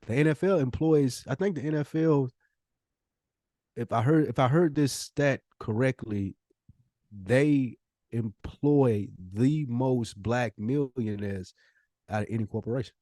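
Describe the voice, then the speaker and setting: A man's voice: medium pitch, a little slow, warm tone, dry recording. An American man co-hosting a podcast, talking casually with emphatic, slangy opinions. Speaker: podcast co-host.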